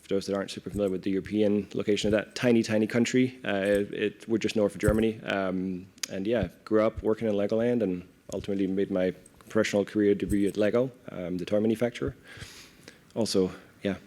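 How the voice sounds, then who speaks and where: A man's voice: fairly low pitch, steady tone, fast talker, a little mellow. A man from Denmark with a Danish accent, explaining things informally in a live interview and often checking in with "right?". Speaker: corporate director, interview guest. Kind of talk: conversational panel interview answers. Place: live event before an audience.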